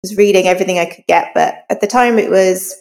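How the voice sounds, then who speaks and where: A woman's voice: mid-range voice, fast, creaky, a little mellow, long reverb tail. A woman in her forties with a Northern Irish accent, chatting casually as an interview guest, slightly congested from a cold. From rural Northern Ireland. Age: 40s. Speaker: crime novelist, interview guest.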